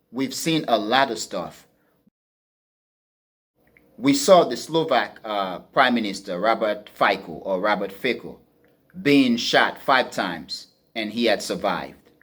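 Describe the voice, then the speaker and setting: A man speaking as a commentator, unscripted, in a deliberate, emphatic manner, often rephrasing himself. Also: medium pitch, fairly bright tone. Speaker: podcast host and political commentator.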